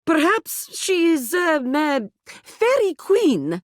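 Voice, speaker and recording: Casting: smitten game admirer, high voice, slow halting reverent delivery. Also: big pitch swings, dry recording, studio quality, exaggerated voice. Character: awestruck, halting, lovestruck admirer. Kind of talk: video game character dialogue.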